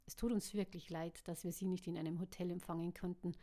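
A woman's voice: on the low side, steady tone, fast, dark tone, lightly acted. Woman doing a slick cynical boss man, polite and oily. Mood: smooth. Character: smooth cynical male ringleader.

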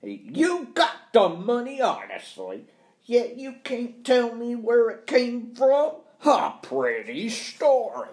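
Harsh, high, blustering accuser played by a male reader. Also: wildly swooping pitch, slow, exaggerated voice. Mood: sneering. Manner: sneering, forceful, badgering.

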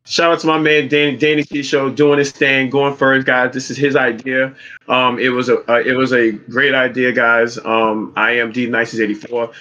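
A man's voice: mid-range voice, level tone, moderate pace, sharp tone. A man from Brooklyn with a New York accent, co-hosting a podcast in a hyped, slangy way with lots of fillers. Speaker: podcast co-host. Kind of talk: casual podcast chat. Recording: dull lo-fi mic.

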